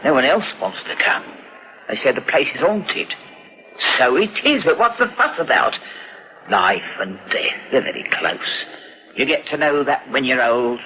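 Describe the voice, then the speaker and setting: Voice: mid-range voice, animated, a little slow, sharp tone, a little hiss or hum, rough recording. An actor playing a crazed, embittered old recluse from a derelict house, rambling eerily in broken phrases in a horror drama. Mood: defiant, bitter. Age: older. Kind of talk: dramatised horror story acting.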